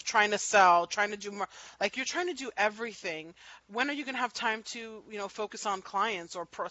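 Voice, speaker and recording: A woman's voice: mid-range voice, pushed and bright. A woman panelist giving emphatic, insistent business advice over a video call. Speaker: panelist entrepreneur. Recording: dull, dry video call audio.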